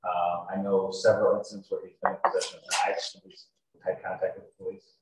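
A young man with a very low voice gives police testimony in a terse, factual way. The sound is heavily echoey and far from the microphone, with faint noise behind it. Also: flat delivery, brisk. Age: young adult. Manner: terse, matter-of-fact, procedural phrasing. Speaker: police officer testifying as witness. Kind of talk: formal courtroom testimony. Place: courtroom, heard over a video call. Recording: distant, echoey, faintly noisy courtroom video call.

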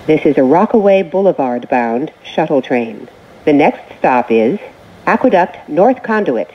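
Low-voiced woman making train announcements. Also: lively intonation, a little slow. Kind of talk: scripted automated station announcements. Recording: muffled recording with train noise.

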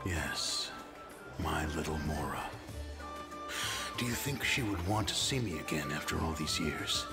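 An actor playing a world-weary, guarded aging dwarf assassin in a video game, gruff and measured, with a hidden tender side. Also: male voice, rumbling low, lively intonation, heavily acted. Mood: weary, regretful. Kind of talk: scripted video game dialogue acting. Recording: game music behind the voice.

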